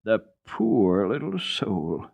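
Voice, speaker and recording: A man's voice: lowish voice, sing-song, slow, vocal fry, a little mellow, heavily acted. A man voicing nosy, reproachful village women in a radio storybook reading. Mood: pitying reproach. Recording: dull lo-fi old radio recording.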